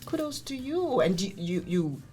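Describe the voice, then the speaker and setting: Animated woman hosting radio. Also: mid-range voice, unhurried, a loud crowd. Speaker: radio show host. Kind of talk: chatty radio interview. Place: radio studio.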